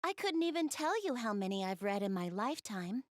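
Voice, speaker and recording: A woman's voice: medium pitch, big pitch swings, brisk, no echo, clean studio sound, lightly acted. A woman voicing a shy, bookish young goddess in a video game, speaking hesitantly and earnestly. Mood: dejected, pensive. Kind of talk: video game character voice acting, intimate one-to-one dialogue.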